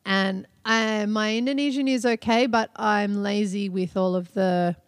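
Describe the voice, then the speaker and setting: A woman co-hosting a podcast in casual chat, chatty and quick to laugh, often trailing off and starting over. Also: medium pitch, fairly even intonation, clear, resonant tone, dry recording, clean studio sound. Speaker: podcast co-host.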